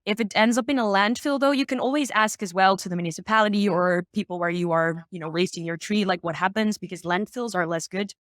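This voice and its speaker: A woman's voice: medium pitch, fast talker, few pauses, no room sound. Informal, upbeat woman, dry close sound. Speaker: sustainability expert guest. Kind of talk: casual explanatory discussion.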